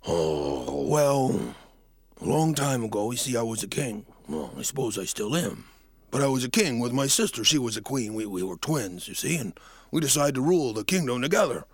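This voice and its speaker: Storybook king, old and big, sleepy and depressed, low and mournful, man doing the voice. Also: very animated, normal speed, slightly rough, no room sound, studio quality. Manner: drowsy, mournful, rambling.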